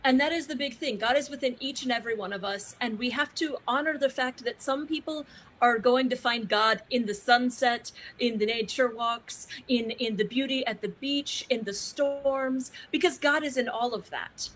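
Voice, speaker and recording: A woman's voice: on the high side, unhurried, a bit bright, slight background noise. A woman speaking as a panel guest on a talk show, emphatic and reflective.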